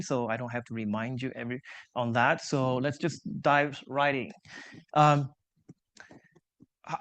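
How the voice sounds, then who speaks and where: A man whose first language is Chinese, giving an academic seminar lecture to a live audience, explaining ideas step by step with light self-deprecating humor and frequent checks like "right?". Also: mid-range voice. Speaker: invited seminar speaker, engineering professor.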